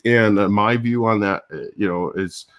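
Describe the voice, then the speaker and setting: An older man with a low voice, hosting a casual morning live stream and chatting in a rambling way, chuckling between thoughts. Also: moderate pace, a bit bright. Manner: rambling, chuckles often, restarts. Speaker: YouTube host. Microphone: different audio device that lowers voice.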